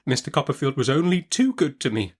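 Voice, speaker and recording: A man's voice: medium pitch, big pitch swings, fairly quick, breathy edge, pitched above the performer's own voice. A man voicing a meek, tearful young widowed woman in a classic novel audiobook, with a faltering, plaintive and deferential manner. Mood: wistful, tearful.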